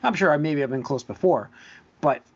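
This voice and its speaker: A man's voice: a little high, animated, a little fast, husky, muffled recording. A man hosting a casual movie-news podcast, talking in a hesitant way and repeating himself as he makes his point. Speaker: podcast host.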